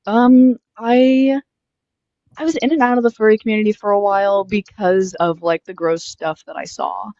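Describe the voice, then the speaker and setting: A woman's voice: medium pitch, fairly even intonation, moderate pace, darkish tone, no echo, dull audio. A woman in her twenties doing a casual street interview, chatty and friendly with lots of fillers. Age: 20s. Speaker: host interviewing strangers.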